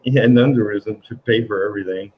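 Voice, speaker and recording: A man's voice: fairly low pitch, quick pace, highs cut off. A man with a New York accent hosting a casual comics livestream, rambling with frequent restarts. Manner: rambling, restarts often, laughs between remarks. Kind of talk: casual chat.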